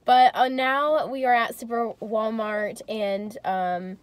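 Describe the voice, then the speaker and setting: A woman's voice: fairly high pitch, steady tone, takes it slowly. A young woman vlogger chatting casually and giggling, swearing now and then, filmed on a phone in a car. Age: young adult. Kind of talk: casual vlog chat. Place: car interior. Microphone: phone camera held in car. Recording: noisy car cabin.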